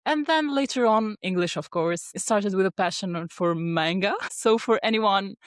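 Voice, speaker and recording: A woman's voice: mid-range voice, moderate pace, no echo, clean studio sound. A young woman from Tunisia whose first language is Arabic, chatting as an interview guest with frequent laughs and fillers. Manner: chatty, laughs often, many fillers and restarts. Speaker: guest, dental student and ghostwriter. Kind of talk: casual interview talk.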